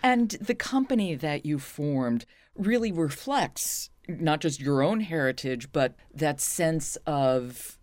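A woman's voice: deep voice, lively intonation, takes it slowly, hardly any pauses, darkish tone, no echo. A woman asking questions as an interviewer, in a conversational style.

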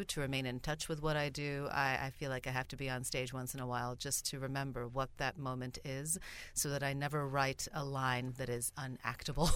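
A woman's voice: rumbling low, a bit bright, smooth, no room sound. A middle-aged woman playwright from Sweden, whose first language was Bulgarian, answering interview questions thoughtfully. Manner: thoughtful, reflective, occasional laughs. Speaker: playwright and actress.

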